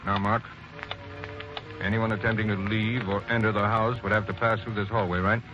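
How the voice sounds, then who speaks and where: A man playing a brisk, authoritative police sergeant in a 1940s radio drama, giving clipped orders. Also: on the low side, flat delivery, music in the background, natural voice. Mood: firm. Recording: muffled 1940s radio broadcast, faint hiss.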